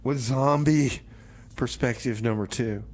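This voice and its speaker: A man's voice: mid-range voice, measured pace, a little mellow. A man hosting community radio with casual comic banter, easygoing and prone to interjecting and repeating short phrases. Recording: faint background noise.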